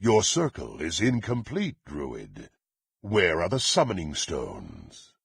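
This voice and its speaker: An actor playing a brutal, bloodthirsty fantasy warrior in a video game, haughty and threatening, with a gruff, raspy voice and formal archaic diction. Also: male voice, low-pitched, huge pitch swings, slow pace, no room sound, studio quality, exaggerated voice. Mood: cold menace. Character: brutal, menacing, bloodthirsty male warrior, contemptuous and impatient. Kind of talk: theatrical fantasy game voice acting.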